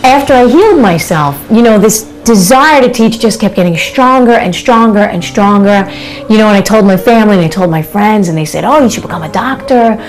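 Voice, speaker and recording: A woman's voice: mid-range voice, expressive, a little fast, rough recording. A woman in an interview recounting her own experience in a conversational way, with frequent fillers. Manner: conversational, many fillers like "you know" and "uh". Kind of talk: personal account in an interview.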